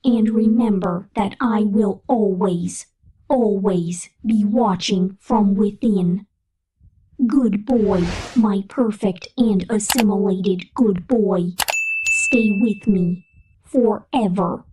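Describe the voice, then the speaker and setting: A woman playing a possessive, all-powerful digital entity in an ASMR roleplay, hushed as if lulling its toy. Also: mid-range voice, slow pace, slight background noise, close to an everyday voice, poor audio. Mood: tender, possessive.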